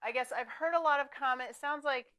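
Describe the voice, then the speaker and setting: A woman on county planning staff moderating a public meeting, polite and measured with frequent ums. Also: sits high, pushed and bright.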